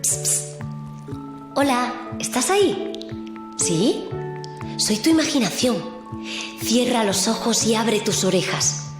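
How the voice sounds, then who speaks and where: A woman plays a playful, coaxing imagination spirit that whispers to a child, her pitch swooping high and low. The voice sits in a roomy space with loud music underneath. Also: animated, slow, pauses often.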